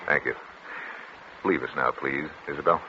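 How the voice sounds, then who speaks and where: An actor playing a controlling, calculating doctor in a formal, measured 1940s radio suspense style. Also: male voice, rumbling low, fairly even intonation. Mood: controlled, soothing. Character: controlling, coldly calculating male doctor narrating his crime. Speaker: radio actor, lead and narrator. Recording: muffled, noisy vintage 1940s radio recording.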